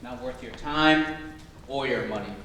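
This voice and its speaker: A man's voice: mid-range voice, a little slow, bright, forceful tone. A man playing a brusque, scornful, impatient jail guard in a staged reading. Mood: contemptuous. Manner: brusque, scoffing. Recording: echoey room from far off, faint noise.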